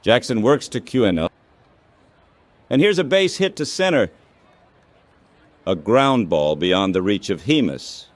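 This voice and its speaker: Slow-paced male baseball play-by-play announcer. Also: on the low side, very animated, no echo.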